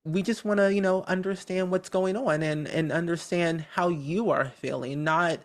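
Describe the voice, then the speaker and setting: Upbeat podcast guest, chatty and cheery, naturally high voice with a medium tone, casual interview talk. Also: steady tone, moderate pace. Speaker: podcast guest, content creator.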